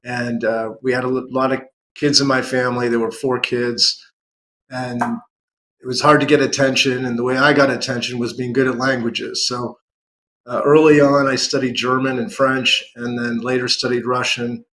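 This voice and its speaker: A man's voice: medium pitch, little pitch movement, normal speed, fairly bright tone, a little hiss or hum, rough recording. A man from San Francisco, a guest author in a casual interview, speaking thoughtfully with small restarts. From San Francisco, USA.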